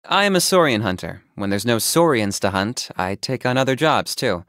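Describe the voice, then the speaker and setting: An actor voicing a stoic, businesslike young male saurian hunter in a video game, speaking in a measured, matter-of-fact deadpan. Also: mid-range voice, no echo, studio quality. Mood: calm, dry. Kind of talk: scripted video game character monologue.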